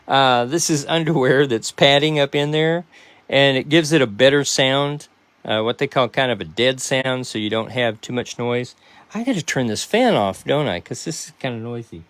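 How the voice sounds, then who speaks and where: Folksy man, clear smooth voice. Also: medium pitch, lively intonation, moderate pace, a bit bright, no room sound. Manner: rambling, folksy, hesitant. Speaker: podcast creator and host. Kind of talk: casual behind-the-scenes chat to viewers.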